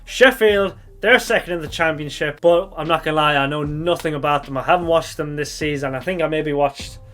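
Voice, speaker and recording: Slightly croaky young man rambling. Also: fairly high pitch, fairly quick, a bit bright. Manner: rambling, thinks aloud, corrects himself. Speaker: football fan channel host. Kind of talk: casual match reaction vlog.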